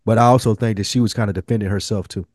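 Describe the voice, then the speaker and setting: A man's voice: lowish voice, fairly even intonation, racing, a little mellow, no room sound, studio quality. A man co-hosting a podcast with casual, slangy, joking banter. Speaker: podcast co-host.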